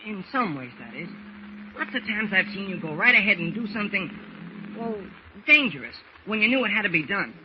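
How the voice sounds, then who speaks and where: An earnest teenage boy in a vintage radio drama. The voice is high and boyish, polite and exclamatory with an anxious edge, on a dull, noisy old recording. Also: big pitch swings, brisk. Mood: eager, admiring. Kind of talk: 1940s radio acting.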